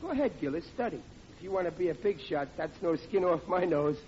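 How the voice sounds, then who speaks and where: Through a hissy, muffled old broadcast comes a blustering, thick-headed American family man. His voice sits high for a man, cheerfully dim in classic radio sitcom fashion. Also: expressive, fairly quick, a little mellow. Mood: defensive. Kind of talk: 1940s radio comedy acting.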